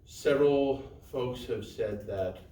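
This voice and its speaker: A man's voice: mid-range voice, takes it slowly, dark tone. Man speaking in an echoey hall. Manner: friendly, greets people by name. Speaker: guest speaker, winery owner. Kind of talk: community meeting presentation. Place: community center meeting hall. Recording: echoey hall, far from the mic.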